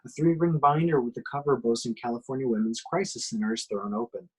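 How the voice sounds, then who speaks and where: Man, medium pitch, reads stage action for a horror script table read, dramatic but amateur delivery. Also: quick pace, husky, low-quality recording. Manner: dramatic descriptive reading with occasional stumbles. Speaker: action description reader in a script table reading.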